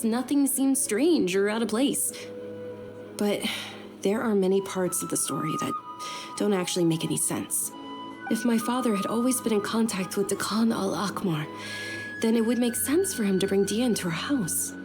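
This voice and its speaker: An actress playing a gentle young noblewoman in a game, speaking softly and courteously. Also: mid-range voice, normal speed, over music, lightly acted. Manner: soft, courteous, careful. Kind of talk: game voice acting.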